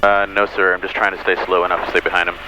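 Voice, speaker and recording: Male pilot, lowish voice, informal cockpit commentary on headset, clear engine noise. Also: little pitch movement, very fast. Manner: informal, conversational. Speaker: pilot and video maker. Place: small airplane cockpit in flight. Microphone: aviation headset. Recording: noisy small aircraft cockpit.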